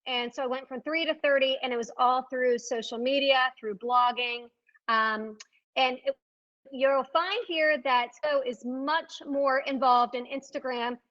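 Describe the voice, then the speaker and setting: A woman's voice: high-pitched, fairly even intonation, measured pace, a bit bright. A woman from Virginia, a real estate agent speaking on a conference panel in an upbeat, folksy way. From Richmond, Virginia. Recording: dull lo-fi sound.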